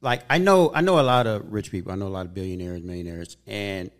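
A man in his fifties with a low, gravelly voice hosts a podcast. He talks in a casual, earnest and upbeat way, telling stories about his life with steady conviction. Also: fast. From Southern California. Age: 50s. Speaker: podcast host.

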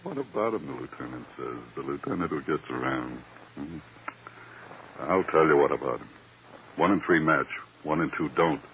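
An actor playing a sly, wheedling police lab technician in an old-time radio crime drama. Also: male voice, a bass voice, expressive. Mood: needling. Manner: wheedling, drawn-out teasing. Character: sly, needling male police lab technician, fond of favors. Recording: muffled, noisy vintage radio recording.

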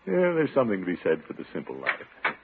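A man's voice: fairly low pitch, wildly swooping pitch, normal speed. An American actor playing a flustered, penny-pinching middle-aged bachelor in a 1950s radio comedy, hemming and sputtering as he goes. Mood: smug, relieved. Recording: narrow-band old radio recording, faint noise.

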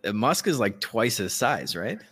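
Low-pitched man in casual podcast banter. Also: big pitch swings, a little slow, a bit bright, breathy edge. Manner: informal, slangy, opinionated. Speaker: podcast co-host.

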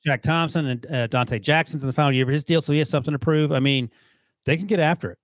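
A man's voice: fairly high pitch, fast talker, no room sound. A man co-hosting a sports podcast, talking through game analysis in a rambling, casual way, often restarting and chuckling. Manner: rambling, self-correcting, chuckles while talking. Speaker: podcast co-host.

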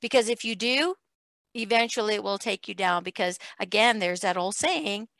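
A woman's voice: mid-range voice, moderate pace, gravelly, fairly bright tone, no echo. A woman hosting a conversational interview show, speaking in an emphatic, motivational way. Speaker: talk show host.

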